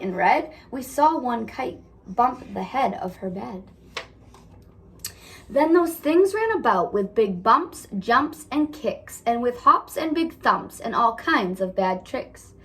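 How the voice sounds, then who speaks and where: A woman teacher reading aloud and talking to young children in an instructive way, often putting questions to them. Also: on the high side. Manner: instructive, asks the listeners questions. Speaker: elementary school teacher. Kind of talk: children's read-aloud and lesson.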